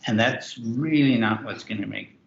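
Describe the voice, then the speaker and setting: A man's voice: on the low side, moderate pace, vocal fry, slight background noise. A man, co-author of a book, talking loosely with many restarts in a virtual author interview. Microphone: video call. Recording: roomy, muffled video call.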